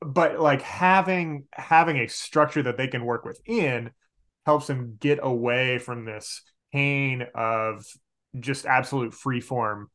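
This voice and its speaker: A man in his late twenties chatting casually as a podcast guest, animated and repeating words as he explains. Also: mid-range voice, takes it slowly. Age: late 20s. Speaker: podcast guest, game master. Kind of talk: casual chat.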